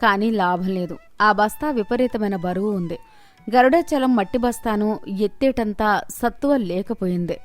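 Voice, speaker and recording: A woman's voice: mid-range voice, normal speed. Woman narrating a moral story over music.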